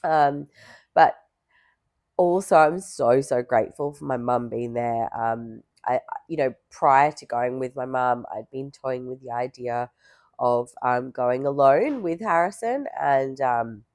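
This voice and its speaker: A young woman talks casually to her viewers in a strikingly deep, low voice, hoarse from a recent illness. She rambles gently, full of "um" and "you know". The sound is dry and close, with no room echo. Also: unhurried. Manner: rambling, lots of fillers. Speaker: vlogger. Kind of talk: casual talk to camera.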